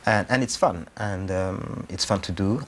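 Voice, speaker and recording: A man's voice: low voice, unhurried, fairly bright tone. A man from Rwanda answers interview questions conversationally, hesitating as he thinks aloud. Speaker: actor-writer being interviewed.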